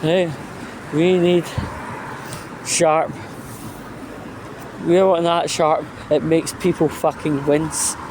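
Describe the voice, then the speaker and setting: A man delivering an informal, blunt, sweary rant in a halting, emphatic way, as a vlog host. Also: a little high, fairly even intonation, background noise.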